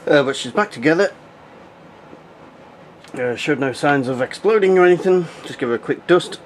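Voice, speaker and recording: A man's voice: on the high side, expressive, moderate pace, a crowd in the background. A man giving a casual, jokey, muttering running commentary as he hosts a hands-on demonstration vlog. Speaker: video host. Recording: noisy amateur camera audio.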